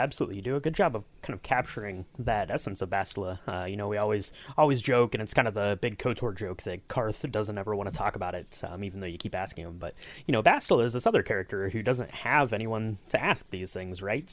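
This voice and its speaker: A man hosting a podcast, asking long, searching questions in a relaxed chatty way. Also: lowish voice, quick pace. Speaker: podcast host. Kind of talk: casual interview chat.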